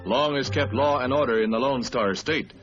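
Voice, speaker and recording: A man's voice: medium pitch, normal speed. An American man reading scripted 1950s newsreel narration in an emphatic, grandiose manner. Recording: dull vintage 1950s newsreel soundtrack. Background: orchestral newsreel music.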